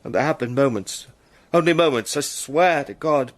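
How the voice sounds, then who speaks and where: A man's voice: medium pitch, normal speed, natural voice. A man playing a haunted British adventurer caught up in a plot, speaking intensely and stammering under strain in a radio drama. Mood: troubled. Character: Sandy, haunted British adventurer in disguise, anguished.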